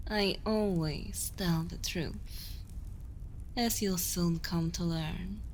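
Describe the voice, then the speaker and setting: A woman playing an imperious, seductive evil queen in an ASMR roleplay, speaking deliberately and often slipping toward a whisper, commanding and condescending. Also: fairly low pitch, a little hiss or hum, poor audio. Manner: slow, deliberate, hushed delivery slipping into whispers. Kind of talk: ASMR roleplay, intimate dramatic monologue.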